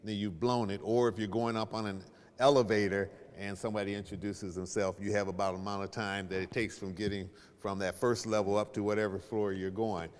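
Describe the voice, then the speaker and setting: Middle-aged male moderator, deeper voice, informal and self-deprecating with an audience, long hall reverb. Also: flat delivery, a little fast, slight background noise. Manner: conversational, joking, self-deprecating. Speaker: panel moderator. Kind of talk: informal spoken introduction to an audience. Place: large reverberant event room.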